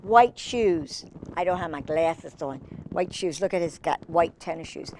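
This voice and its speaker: A woman with a very animated, swooping delivery hosts a storytime for children. She reads picture books aloud and slips into chatty, gushing asides, speaking fondly to her young listeners. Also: lowish voice, measured pace, a little hiss or hum. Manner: gushing, expressive, exclaiming over pictures.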